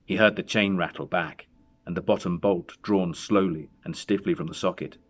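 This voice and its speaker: Deep-voiced man reading a chiller. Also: steady tone. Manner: suspenseful, deliberate. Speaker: story reader. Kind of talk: dramatic scripted narration of a horror story.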